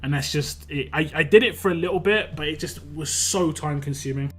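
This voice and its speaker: A man's voice: fairly high pitch, faint music behind. A young man with a West London accent, chatting casually and rambling with restarts while streaming a game. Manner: rambling, talks fast with frequent restarts and fillers. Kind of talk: casual live-stream chat while gaming.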